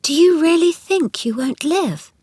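A woman voicing an anxious, gentle young girl in a children's storybook, earnest and coaxing. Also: very high voice, animated, measured pace, dry recording, studio quality, pitched above the performer's own voice. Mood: worried, gentle. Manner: pleading, coaxing.

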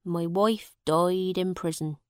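A woman voicing a poor male farmer who slowly tells the sad story of his lost family. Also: lowish voice, steady tone, clean tone, no echo, studio quality. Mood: sorrowful. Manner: slow, heavy storytelling.

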